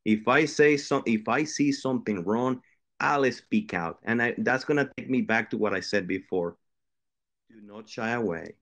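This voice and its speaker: A man's voice: mid-range voice, moderate pace, a bit bright. A middle-aged man from Venezuela, an engineering professor answering a panel question over a video call, earnest and often restarting his phrases.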